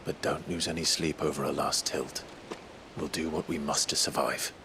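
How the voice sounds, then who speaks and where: An actor playing a brooding, resolute young warrior in a fantasy game, with an earnest, measured delivery. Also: male voice, extremely low pitch, level tone, background noise. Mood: solemn. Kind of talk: dramatic fantasy game voice acting.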